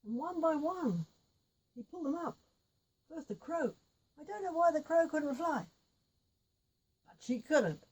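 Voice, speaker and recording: A woman's voice: high-pitched, expressive, measured pace, pauses often, darkish tone, poor audio. A woman telling a folk tale informally, with repetition and little spoken asides. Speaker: storyteller with puppet figures.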